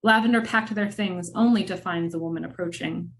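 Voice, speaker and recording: A woman narrating a scripted film-noir radio drama in measured, dramatic storytelling with wry asides. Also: on the low side.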